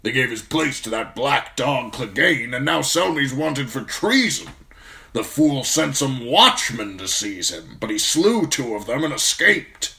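A man voicing a gruff, irritable old lord commander in a fantasy audiobook, grumbling bluntly with grim, weary disgust. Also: mid-range voice, expressive, measured pace, pushed and bright, pitched above the performer's own voice, full character voice.

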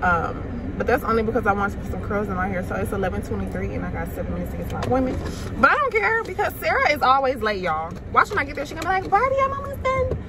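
A woman's voice: on the high side, sing-song, fast. A young woman in her twenties talking casually to her phone camera while she drives, blunt and profane and laughing often. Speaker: vlogger. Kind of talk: casual vlog chat. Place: inside a car, driving. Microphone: phone camera on the dashboard. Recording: loud car and road noise.

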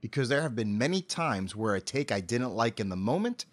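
A middle-aged man giving articulate, measured explanations as a voice actor being interviewed. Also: medium pitch, very animated.

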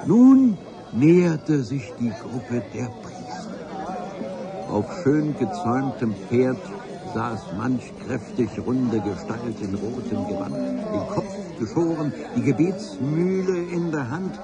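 Ein Schauspieler spielt einen hochtrabenden Abenteurer, der im Hörspiel feierlich und wortreich von seiner Expedition erzählt. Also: male voice, mid-range voice, lively intonation, slow, a loud crowd. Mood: grandiloquent. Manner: pompous, ornate storytelling. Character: grandiloquent male adventurer recounting his expedition. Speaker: narrator. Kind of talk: dramatic first-person radio play narration.

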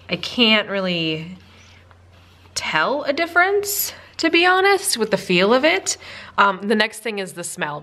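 A woman's voice: on the low side, fairly bright tone. Woman, young, from Minnesota, bubbly, chatty, slightly stuffed up, casual vlog at a desk. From Minnesota, USA. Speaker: planner sticker vlogger. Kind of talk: casual chat. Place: indoors at a desk, overhead camera.

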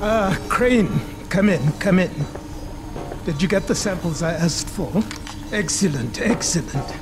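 An actor playing an eccentric, excitable older doctor in a video game, with a fussy, bookish, wordy manner. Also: male voice, high-pitched, a little slow. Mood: excited. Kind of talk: video game cutscene acting. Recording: loud music behind.

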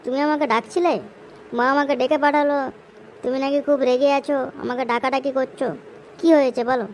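A woman plays a lazy, cheeky son in a comedy cartoon, whining and cajoling with a West Bengal accent. The voice sits high, with noticeable noise behind it. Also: steady tone, fairly quick, lightly acted. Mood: cheeky, placating. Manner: whiny, cajoling, casually cursing. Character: cheeky, idle, beedi-smoking village son. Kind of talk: amateur comedy cartoon dialogue.

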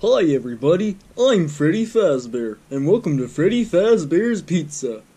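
A man's voice: on the high side, sing-song, normal speed, mellow, husky. A man voicing a cheerful animatronic mascot animal, welcoming and showy.

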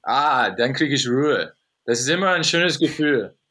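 An American man with English as his first language, a podcast guest on a video call, chatting casually in halting, searching German full of fillers. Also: mid-range voice, animated, sharp tone, slightly rough. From USA. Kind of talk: casual chat. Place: home room on a video call.

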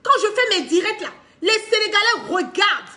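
Very high-pitched Ivorian woman, emphatic. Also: moderate pace. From Ivory Coast. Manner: emphatic, repeats phrases for stress, animated with hand gestures. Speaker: political commentator on a live stream. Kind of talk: impassioned, unscripted monologue to camera. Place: indoor living room.

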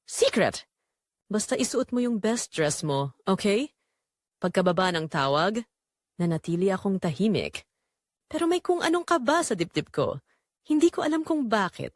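Female storyteller for romantic audio drama: expressive, varied intonation, studio-dry sound. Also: mid-range voice, dry recording. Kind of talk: scripted first-person dramatic narration.

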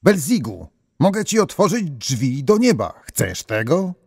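A man voicing a gentle but insistent saint, coaxing in a hushed way in a folktale. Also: fairly high pitch, very animated, unhurried, gravelly, husky, dry recording, clean studio sound, voice pushed up, exaggerated voice. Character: persuasive, gentle, insistent Saint Peter, male.

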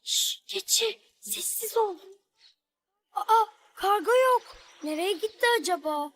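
A woman's voice: very high-pitched, takes it slowly, exaggerated voice. A woman voicing a cheerful, curious little girl in a children's cartoon, talking expressively to her animal friends. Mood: eager, playful. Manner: expressive, talks aloud to her pets.

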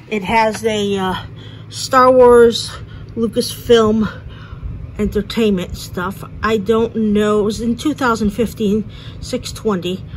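A woman's voice: medium pitch, low-quality recording. A woman chatting casually about her thrift-store finds while filming on a handheld phone. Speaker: thrift reseller vlogger. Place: thrift stores, partly a car. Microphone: handheld phone camera. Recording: phone audio with clear store background noise.

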